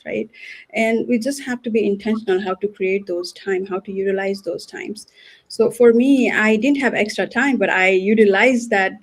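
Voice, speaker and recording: A woman in her late thirties from Nepal, a guest on a live video call, talking earnestly and repeating words as she thinks. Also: mid-range voice. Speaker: guest, nurse and business owner. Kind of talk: live online interview.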